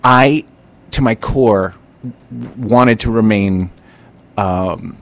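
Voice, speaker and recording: A man hosting a podcast, talking in an animated, rambling way and often restarting his sentences as he thinks aloud. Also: lowish voice, very slow. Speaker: podcast host. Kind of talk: casual conversational chat.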